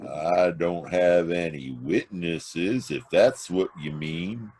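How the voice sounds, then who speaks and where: A man playing a sullen farmhand in a radio play, with a deliberate, plain-spoken way of talking. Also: low voice, a little mellow, husky, full character voice. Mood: defensive. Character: sullen, deliberate young farmhand Hank under questioning. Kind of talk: old-time radio play acting.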